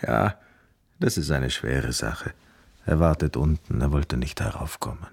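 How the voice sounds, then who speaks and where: A plain-spoken chestnut seller in a radio drama. His voice is deep and rough with husky grain, and he speaks in a simple, deliberate manner. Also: lively intonation, darkish tone, heavily acted. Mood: grave.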